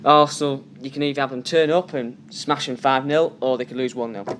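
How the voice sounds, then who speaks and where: Fast talking guy, co-host, sure of his takes, faint hiss. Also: mid-range voice, steady tone, a bit bright. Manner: quick, opinionated, emphatic. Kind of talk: casual sports chat.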